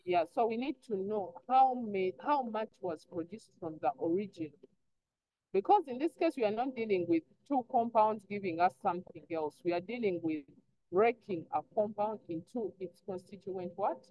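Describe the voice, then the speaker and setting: A woman teaches an online class in an instructive way, explaining step by step at a medium pitch. She poses short prompting questions and answers them herself. The audio is dull and lo-fi. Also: expressive, moderate pace, no room sound. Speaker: chemistry teacher.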